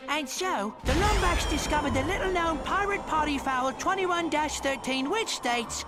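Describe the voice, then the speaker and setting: An excitable robot pirate storyteller in a video game. The voice is shrill and animated, narrating with theatrical drama over clearly heard music. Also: male voice, normal speed. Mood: dramatic.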